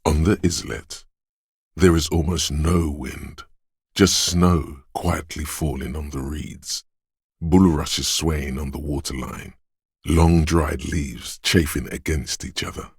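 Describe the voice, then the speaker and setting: A man voicing an inner sense of perception in a video game, narrating in a hushed, husky, deliberate and poetic way, savoring each sound. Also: extremely low pitch, fairly even intonation, no room sound, studio quality, exaggerated voice. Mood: hushed, watchful. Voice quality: husky, gravelly, breathy. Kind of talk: atmospheric scripted game narration.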